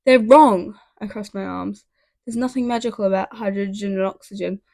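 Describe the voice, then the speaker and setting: A woman's voice: medium pitch, steady tone, moderate pace, creaky, darkish tone, no echo, clean studio sound, natural voice. A woman voicing a wry, defensive male science teacher in a storybook reading, conversational and indignant.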